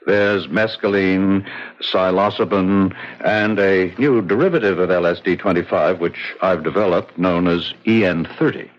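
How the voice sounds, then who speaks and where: An actor playing a self-assured, persuasive psychiatrist in a radio drama, measured and clinical as he lectures. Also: deep voice. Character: smooth-talking, self-assured male psychiatrist, coolly persuasive. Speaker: doctor. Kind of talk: 1970s radio drama acting. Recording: husky-sounding, muffled old radio recording.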